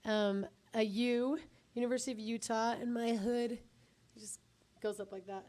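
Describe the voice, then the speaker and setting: A middle-aged woman with a husky, raspy voice, hosting a casual podcast chat, joking about herself and laughing often. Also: on the high side, fairly even intonation, normal speed, warm tone, no echo. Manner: chatty, self-deprecating, laughs often. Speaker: podcast host.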